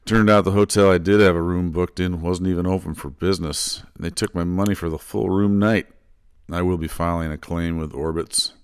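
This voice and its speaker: Deep-voiced man with a scratchy throat. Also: clear, resonant tone, dry recording, clean studio sound. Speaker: motorcycle travel vlogger. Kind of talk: voiceover narration.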